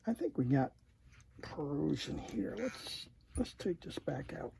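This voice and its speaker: A man giving casual, unscripted repair commentary at a workbench, halting and muttering to himself as he works. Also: a little high, very animated, creaky, mellow, slight background noise. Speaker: host demonstrating electronics repair.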